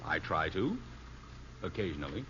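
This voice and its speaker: A man's voice: deep voice, big pitch swings, normal speed, bright, forceful tone, full character voice. An actor playing an exasperated middle-aged American father in a 1950s radio sitcom, with dry, sarcastic delivery. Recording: dull, noisy old radio recording.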